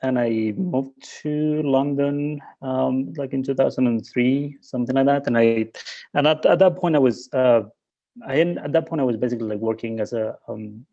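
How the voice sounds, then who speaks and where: A man's voice: medium pitch, normal speed, vocal fry, dark tone, muffled recording. A man from Spain, a guest in an interview, talking casually with many fillers and restarts.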